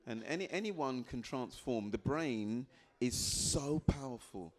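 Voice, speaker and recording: A man, a life coach giving an animated motivational talk to a live audience and putting questions to it. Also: mid-range voice, a little slow. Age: 50s. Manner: animated, quotes people in put-on voices, asks the crowd questions.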